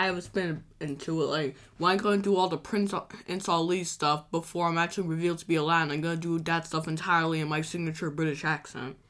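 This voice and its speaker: A man's voice: high voice, a bit bright. A man co-hosting a casual, bantering chat about films, insistent and contrarian in a joking way. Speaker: show co-host.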